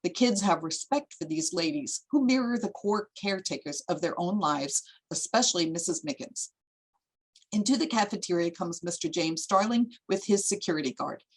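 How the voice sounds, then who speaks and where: A woman reading screenplay stage directions as narrator, in a measured, descriptive way. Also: fairly low pitch, lively intonation, husky, poor audio.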